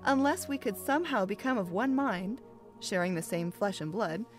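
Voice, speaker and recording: A woman playing a kindly, patient mentor in a children's audio drama, explaining things gently like a teacher. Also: medium pitch, animated, normal speed, soft background music, close to an everyday voice.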